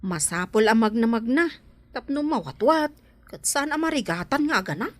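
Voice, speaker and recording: A woman's voice: a little high, expressive, moderate pace, lightly acted. A woman playing a practical, chatty village big-sister figure who gives advice in a radio drama. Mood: concerned, advising.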